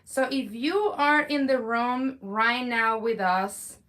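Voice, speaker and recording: A woman hosts a live stream and chats cheerfully with viewers. Her voice sits slightly high and bright, and her delivery is upbeat and talkative. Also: slow. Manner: upbeat, chatty, addresses viewers. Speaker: live-stream host, co-owner.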